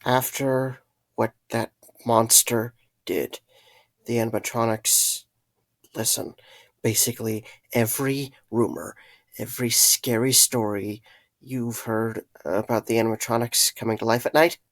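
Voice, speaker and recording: Man acting an earnest, gravelly man with secrets. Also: mid-range voice, steady tone, dry recording, natural voice. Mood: urgent, earnest. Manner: halting, serious delivery. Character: earnest, guilt-ridden man confessing dark secrets to a friend. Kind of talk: audio drama acting.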